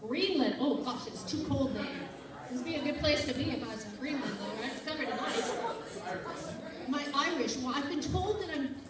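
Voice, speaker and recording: A playful, teasing woman teaches a class, her voice pitched noticeably high. She is picked up from across a large, very echoey room. The chatter of students murmurs behind her. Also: animated, fairly quick, distant mic. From Burbank, California.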